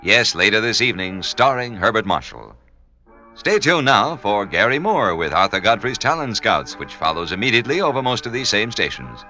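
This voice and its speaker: An American male announcer reads promos in 1950s network radio style over faint music. His voice is slightly low and bright, with animated intonation. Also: moderate pace.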